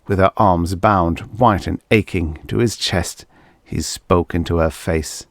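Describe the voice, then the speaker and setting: Low-voiced, unhurried male audiobook narrator. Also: no room sound, clean studio sound. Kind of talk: dramatic scripted fiction narration.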